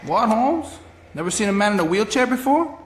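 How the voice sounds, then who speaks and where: An actor playing a bitter, prickly chop-shop boss in a video game, slangy and abrasive. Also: male voice, high voice, sing-song, brisk, a little hiss or hum. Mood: bitter, businesslike. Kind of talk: video game cutscene acting.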